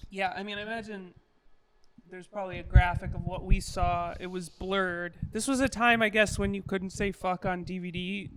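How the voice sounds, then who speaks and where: A woman's voice: on the low side, fairly even intonation, moderate pace, noisy background. Rambling Midwestern woman podcast co-host. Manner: rambling, many ums and restarts, laughs at own jokes. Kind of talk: casual comedic chat.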